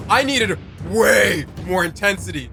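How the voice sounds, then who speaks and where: Male reaction host, higher-pitched voice, excited casual commentary, exclamatory slang, emphatic delivery, prominent music underneath. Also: measured pace, gravelly.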